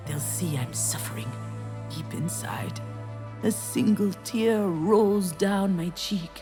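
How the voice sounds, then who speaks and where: An actress playing an introspective, wry woman in a narrative video game, speaking in a measured way over music. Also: fairly low pitch. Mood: brooding, anxious. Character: Junon, troubled failed screenwriter, wry introspective woman and mother. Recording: music under the voice.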